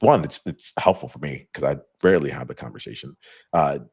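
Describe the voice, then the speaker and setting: A middle-aged man hosting a live conversation, talking loosely with a deep voice, many fillers and restarts. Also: a little fast, a little mellow, slightly rough, no echo, studio quality. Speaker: host.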